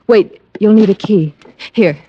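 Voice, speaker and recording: A woman playing an anxious nightclub performer in an old radio drama, speaking in a hurried way. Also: medium pitch, slightly rough. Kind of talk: 1940s-50s radio drama acting. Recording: dull vintage radio recording.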